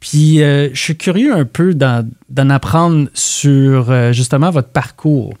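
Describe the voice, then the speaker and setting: A man's voice: medium pitch, slow pace, darkish tone, no room sound. French Canadian man hosting, dry studio sound. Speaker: radio interview host. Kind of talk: casual studio interview. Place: radio studio.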